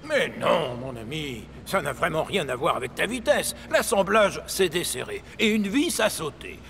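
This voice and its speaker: Gravelly, patient cartoon engine. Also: male voice, fairly high pitch, big pitch swings, normal speed, fairly bright tone, a little hiss or hum, full character voice. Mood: reassuring, patient. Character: reassuring, patient male repair-shop engine. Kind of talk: French children's cartoon dubbing.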